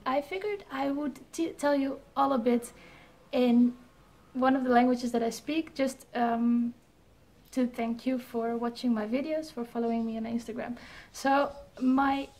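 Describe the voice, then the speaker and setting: A young Dutch woman with a fairly high voice talks casually to her camera. She is a bit shy and hesitant and laughs at her own mistakes. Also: darkish tone. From Netherlands, Amsterdam area. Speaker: vlogger and pilot.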